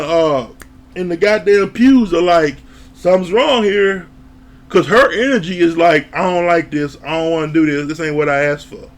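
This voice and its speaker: Animated man recapping a reality show. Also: high-pitched. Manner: animated, laughs, acts out quoted lines, swears. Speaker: reality TV reviewer. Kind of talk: casual recap commentary.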